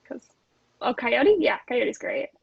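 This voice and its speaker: A woman's voice: on the high side, animated, slow, vocal fry, muffled recording, a loud crowd. A woman talking in a casual, conversational way among a group. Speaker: podcast cast member. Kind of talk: casual chat.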